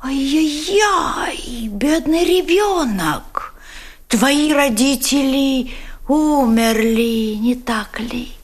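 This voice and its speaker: An actress voicing a cunning German woman interrogator who coaxes an orphan boy with wheedling sweetness and then presses him with sharp questions. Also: high-pitched, expressive, extremely slow, exaggerated voice. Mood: coaxing. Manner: wheedling, sugary coaxing that turns to sharp questioning. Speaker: actress in an audio drama. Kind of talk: dramatic radio play acting.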